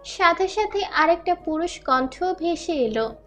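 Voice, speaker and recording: A woman's voice: extremely high pitch, animated, a little slow, fairly bright tone. A woman narrating a scripted romantic audio story. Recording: music under the voice.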